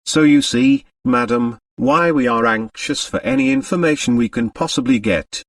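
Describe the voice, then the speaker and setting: A man's voice: medium pitch, sing-song, moderate pace, creaky, no room sound, distant mic. A man voicing a pompous, self-important French police commissary, formal and officiously courteous, in an audiobook. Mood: grave, insistent.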